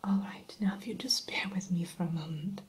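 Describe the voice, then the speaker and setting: A woman playing a polite, primly formal evil queen shopkeeper in a soft, unhurried ASMR whisper. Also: on the low side, close to an everyday voice. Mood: politely chatty. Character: genteel evil queen running an enchanted mirror shop. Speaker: shopkeeper character in ASMR roleplay.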